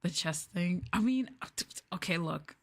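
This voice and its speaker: Woman streamer, casual chat, rambling, giggly, slightly low voice. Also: expressive, measured pace. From United States. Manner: rambling, filler-heavy with lots of "like"; giggly and playful. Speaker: VTuber streamer.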